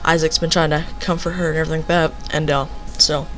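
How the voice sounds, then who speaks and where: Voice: medium pitch, flat delivery, fast talker, a bit bright, faint music behind. A young person telling a casual, rambling story, leaning on filler phrases. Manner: rambling, repeats filler phrases like "basically" and "you know".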